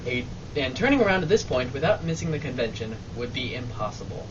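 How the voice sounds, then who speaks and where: A man's voice: medium pitch, sing-song, fast talker. A man reading scripted narration as the announcer of an old-time radio drama. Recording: muffled, distant live recording, background noise.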